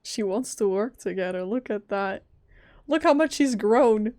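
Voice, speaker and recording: A woman streaming a game live, chatting and reacting in an expressive, dramatic, exclamatory way. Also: mid-range voice, unhurried, no echo. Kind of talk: live gameplay reaction chat. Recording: close dry mic over game music. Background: game music.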